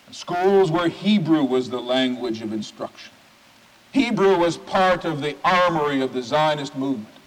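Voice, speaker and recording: A man, a rabbi, giving a sermon in an oratorical manner, stacking phrases with emphatic pauses. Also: on the high side. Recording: reverberant hall recording.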